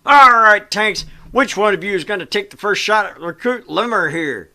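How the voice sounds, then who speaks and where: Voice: mid-range voice, lively intonation, normal speed, sharp tone. A performer voicing a stern, foul-mouthed tank instructor sergeant who lectures and barks insults like a drill instructor in a video game. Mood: commanding. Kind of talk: military drill shouting and lecturing.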